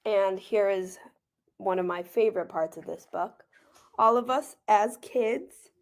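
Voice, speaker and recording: A woman reading a children's picture book aloud as author and teacher, gentle and expressive, with informal asides. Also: medium pitch, a little slow.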